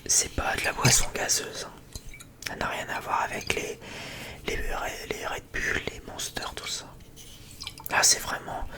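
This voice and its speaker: Whispering ASMR creator, rambling and hesitant. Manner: rambling, hesitant, often repeating words. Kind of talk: whispered ASMR casual chat.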